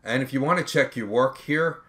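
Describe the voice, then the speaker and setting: A man working step by step through an accounting tutorial as an instructor, in a measured way, reasoning aloud as he calculates. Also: medium pitch, fairly bright tone. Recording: background noise with keyboard typing clicks.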